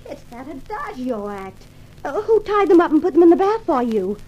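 A woman's voice: sits high, sing-song, normal speed, lo-fi sound. A woman playing a tough, teasing bodyguard in a 1950s radio thriller, with a wry, casual manner. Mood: amazed.

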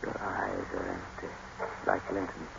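An actor playing a bitter, tormented lover turned cruel husband, brooding and sardonic, in 1950s radio drama style. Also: male voice, low voice, sing-song, a little slow, vocal fry, a bit bright, exaggerated voice. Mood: cold despair. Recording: noisy, dull old radio broadcast.

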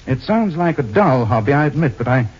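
An actor playing a suave, unflappable amateur sleuth with dry wit in an old-time radio detective drama, wry and hesitating deliberately. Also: male voice, mid-range voice, very animated, heavy background noise, natural voice. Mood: cool, probing. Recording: dull, muffled old radio recording.

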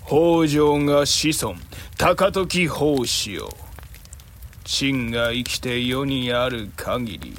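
An exiled emperor in a historical radio play, vengeful and imperious. He chants his words extremely slowly, like a solemn incantation, with some ambient noise behind him. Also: mid-range voice, lively intonation, a bit bright. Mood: menacing, imperious. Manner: slow, solemn incantation, archaic imperious speech. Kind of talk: Japanese historical audio drama acting.

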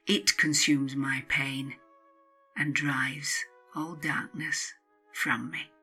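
A woman narrating a spiritual self-help book as its author, in a solemn, deliberate, incantatory way. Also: extremely low pitch, level tone, sharp tone, breathy edge, faint music behind. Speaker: author and podcast host reading their own book.

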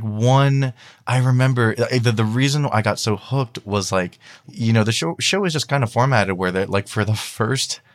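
A man chatting casually as a podcast guest, rambling along with lots of filler likes. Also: mid-range voice. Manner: rambling, peppered with "like". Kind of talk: casual chat.

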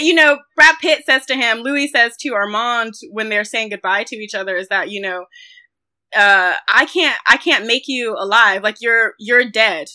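A woman's voice: on the high side, sharp tone. A woman co-hosting a film podcast, talking casually and emphatically with frequent conversational asides. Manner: conversational, emphatic, uses like and you know often.

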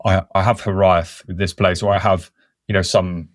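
Low-voiced reflective man. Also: little pitch movement, normal speed, no echo, clean studio sound. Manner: reflective, thinks aloud with restarts. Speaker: podcast guest, conservation worker. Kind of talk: casual conversational interview.

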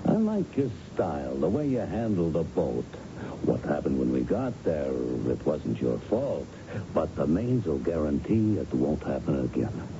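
A noisy, dull old radio drama recording carries a smooth, bullying racketeer. His voice is extremely low and swings freely as he needles and coaxes in street slang. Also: big pitch swings, unhurried, heavily acted. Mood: needling, coaxing. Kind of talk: 1970s radio drama acting.

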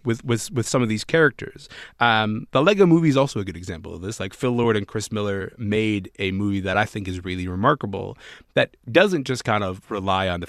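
A man's voice: fairly low pitch, fairly bright tone, dry recording, studio quality. A man who grew up in Sudan, co-hosting a casual discussion and talking thoughtfully with many ums and restarts.

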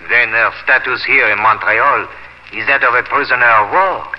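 An actor playing a middle-aged, kindly but grave French colonial father in a 1950s radio drama, speaking in a measured way. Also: mid-range voice, highs cut off, lightly acted. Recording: band-limited 1950s radio, faint noise.

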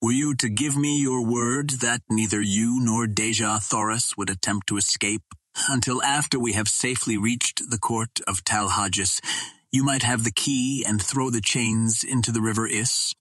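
A man's voice: mid-range voice, steady tone, moderate pace, fairly bright tone, dry recording, close to an everyday voice, low-quality recording. A man voicing a stern, proud alien warrior chieftain with formal, terse, commanding speech in an audiobook. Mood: earnest, formal.

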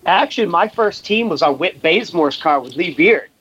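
A man from Massachusetts, a guest telling relaxed, rambling work stories in a casual interview. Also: on the high side, moderate pace, husky, dry recording, dull audio. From Massachusetts, USA. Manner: laid-back, rambling, many fillers. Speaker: guest, race shop welder.

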